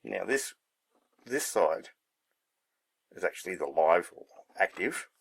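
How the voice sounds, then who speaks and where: A man's voice: sits low, expressive, slow, gravelly, breathy edge. A man giving a casual hands-on demonstration, thinking aloud in a laid-back, unscripted way as he works. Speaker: hobbyist presenter.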